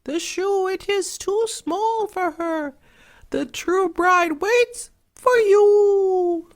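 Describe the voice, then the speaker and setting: A woman voicing a small pigeon from a storybook, crying out a rhymed warning in a drawn-out chant. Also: heavily acted. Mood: urgent warning. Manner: drawn-out, high chanting cry.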